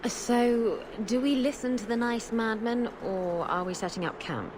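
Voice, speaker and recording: An actress playing a flippant, mercenary rogue adventurer in a video game, dry and teasing. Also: female voice, a little high, measured pace, background noise, natural voice. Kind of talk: video game dramatic acting.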